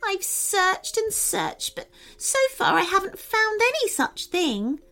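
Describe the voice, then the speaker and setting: A woman performs a tiny storybook fairy with a high, soft-spoken delivery. The little creature is timid and sad, sniffling as she speaks with careful politeness, lingering over her words. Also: expressive, measured pace, vocal fry, faint music behind, full character voice. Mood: sad, despairing. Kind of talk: children's storybook narration.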